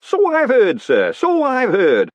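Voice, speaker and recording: A man's voice: extremely high pitch, wildly swooping pitch, takes it slowly, no room sound, voice pushed up, heavily acted. A man voicing a friendly, obliging hangman who chats politely and calls everyone sir. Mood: cheery. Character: cheerful obliging male hangman.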